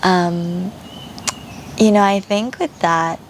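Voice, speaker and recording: A young woman from Japan, speaking in a soft, reflective way with frequent pauses and fillers. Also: fairly low pitch, fairly even intonation, a little hiss or hum, rough recording. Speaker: vlogger. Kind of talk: reflective personal voice-over.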